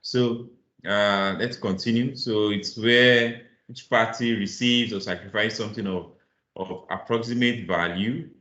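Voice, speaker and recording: A low-pitched man leads an online finance lesson, patient and encouraging as he walks through definitions. His voice comes through a roomy, slightly muffled call line. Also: fairly even intonation, a little slow, fairly bright tone. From Nigeria. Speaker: financial modeling instructor. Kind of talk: live online class, explaining and inviting answers. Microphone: video call.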